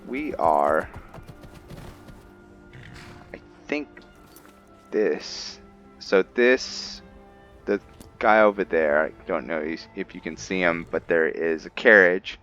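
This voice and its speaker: A man's voice: low-pitched, slow, long pauses, fairly bright tone, lo-fi sound. A man giving casual gameplay commentary, thinking aloud hesitantly and chatting to his viewers. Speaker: host of a gameplay roleplay series. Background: background music.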